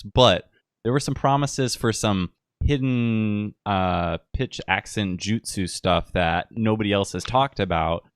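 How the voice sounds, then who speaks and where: A man hosting a podcast interview, asking questions in a relaxed, encouraging way. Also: sits low, dry recording, clean studio sound. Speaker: podcast host. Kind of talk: casual podcast conversation.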